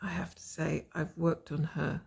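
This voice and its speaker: A woman with a croaky voice from a cold, chatting casually and rambling as she shows her cross-stitch progress from home. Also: deep voice, flat delivery, unhurried, a little mellow. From Scotland. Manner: rambling, chatty, self-mocking.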